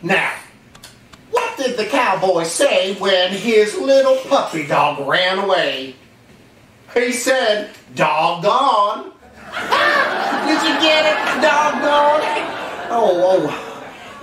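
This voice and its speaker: A man's voice: high-pitched, big pitch swings, slow, pitched above the performer's own voice, exaggerated voice. A man doing a folksy Texan cowboy. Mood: hammy. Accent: Texan put on. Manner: folksy, laughing at his own jokes. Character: jolly talkative male Texan cowboy. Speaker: children's entertainer. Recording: roomy, distant mic, faint noise.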